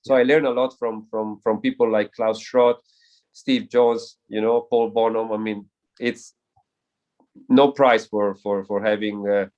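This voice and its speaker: A man's voice: fairly low pitch, fairly even intonation, a little slow, a little mellow, muffled recording. A man speaking as an air race pilot in a casual interview, earnest and reflective, who often stumbles and repeats words while searching for them.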